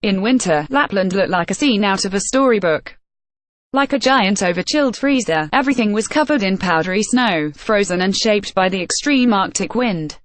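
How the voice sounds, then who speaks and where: A woman narrating a travel feature article in a steady, even reading voice with odd pauses. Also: mid-range voice, dry recording.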